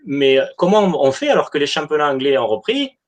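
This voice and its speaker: Halting man in casual football debate. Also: on the high side, very animated. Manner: hesitant, halting, repeats himself. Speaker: football supporter in a live online fan debate.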